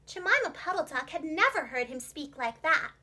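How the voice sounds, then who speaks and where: A woman narrating a children's storybook in an expressive, clearly enunciated storyteller's manner for young listeners. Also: sits high, moderate pace. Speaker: preschool teacher and children's storyteller.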